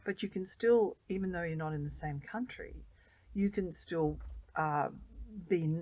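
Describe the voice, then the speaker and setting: A middle-aged woman, speaking earnestly and warmly as a guest in a casual interview, sometimes repeating words as she gathers her thoughts. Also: on the low side, unhurried, no echo, poor audio. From Australia. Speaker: guest volunteer.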